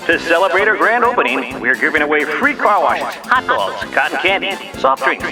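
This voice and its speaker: An audio drama's carnival-style barker, played by a man, gleefully selling a grand opening. The pitch is high and swoops around in showy sing-song, with a gravelly creak. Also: very animated, moderate pace, music in the background. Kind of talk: over-the-top promotional announcement in an audio drama.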